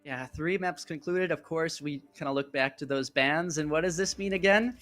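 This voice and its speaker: A man's voice: a little high, moderate pace, fairly bright tone. A man giving live play-by-play esports commentary in a conversational style with wry phrasing. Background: music.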